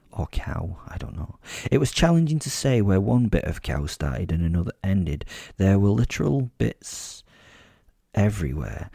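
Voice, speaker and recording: A man's voice: fairly low pitch, gravelly, mellow, no echo, studio quality. A man narrating a comic horror story in the first person as a sardonic werewolf, in a wry, sweary, conversational way. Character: sardonic, sadistic male werewolf telling his story. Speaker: podcast host and storyteller.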